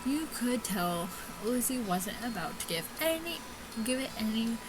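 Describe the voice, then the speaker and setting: A woman doing casual gameplay commentary, reading game text aloud haltingly and often stumbling and rereading lines. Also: on the high side, big pitch swings, background noise. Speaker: let's player reading a visual novel aloud. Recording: music playing behind the voice. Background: game music.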